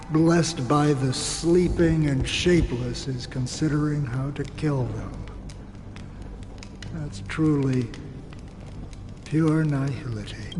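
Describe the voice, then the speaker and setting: A brooding warrior who talks very slowly and pensively. He is a somber, philosophical video game figure. His voice carries a long reverb, with music clearly behind it. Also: a little high, darkish tone. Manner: deliberate, pensive. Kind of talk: English video game voice acting.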